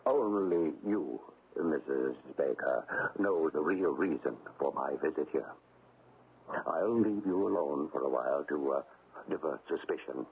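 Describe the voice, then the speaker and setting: Low-voiced, formal frontier government agent. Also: male voice, lively intonation, creaky, heavily acted. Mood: grave, official. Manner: measured, official, formal. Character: stern, formal government postal agent in the Old West. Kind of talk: old-time radio drama acting. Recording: narrow-band, noisy old radio broadcast.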